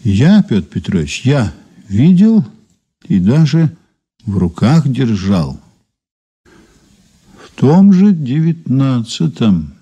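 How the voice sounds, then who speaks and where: An actor playing a composed investigator in a radio play, measured and dryly ironic. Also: male voice, mid-range voice, very animated, warm tone, breathy edge, exaggerated voice. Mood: reflective. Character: composed, wry male investigator recounting an old case. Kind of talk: radio drama dialogue and narration.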